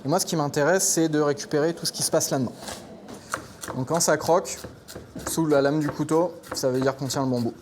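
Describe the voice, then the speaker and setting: A man's voice: on the high side, steady tone, brisk, background noise. A man from Normandy working as a chef, explaining recipes step by step in casual, slightly hesitant chat. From Normandy, France. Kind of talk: cooking demonstration with casual chat. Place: kitchen.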